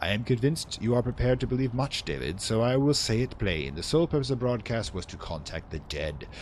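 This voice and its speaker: A man's voice: mid-range voice, very animated, a little fast, a little hiss or hum. A man hosting a let's play, casually commenting in a wry, rambling way and reading in-game text aloud theatrically.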